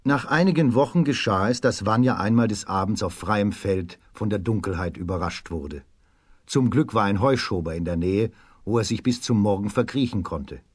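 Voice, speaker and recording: A man narrating a children's radio play as a storyteller, in a measured storybook delivery. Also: lowish voice, breathy edge, no room sound.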